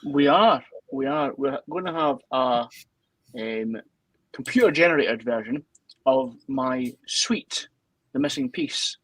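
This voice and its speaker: A man's voice: mid-range voice, animated, slow, fairly bright tone, breathy edge, no echo, low-quality recording. A Scottish man co-hosting a music show, chatting casually and explaining things in informal, hesitant phrases. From Dundee, Scotland. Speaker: co-host and tune composer. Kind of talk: casual live chat.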